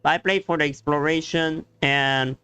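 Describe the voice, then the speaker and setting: A man giving casual live game commentary as a streamer, explaining things haltingly in non-native English grammar. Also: fairly high pitch, steady tone, pushed and bright, smooth. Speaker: gaming streamer. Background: faint game music.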